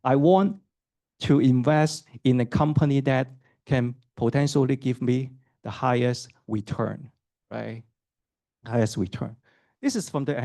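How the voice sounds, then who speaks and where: Middle-aged man giving a lively lecture. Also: medium pitch, dry recording. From Hong Kong. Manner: conversational, asks the audience questions, many fillers and "right?" tags. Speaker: associate professor giving a program talk. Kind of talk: university admissions talk.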